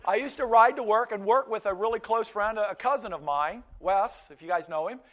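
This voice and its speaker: High-voiced pastor in his fifties. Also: fairly quick, a bit bright. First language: Pennsylvania Dutch. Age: 50s. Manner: conversational storytelling with frequent restarts and stammered repeats. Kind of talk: sermon.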